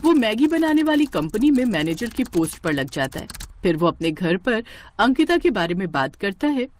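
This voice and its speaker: A woman narrating a scripted story in an expressive storytelling style. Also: mid-range voice, moderate pace, a little hiss or hum.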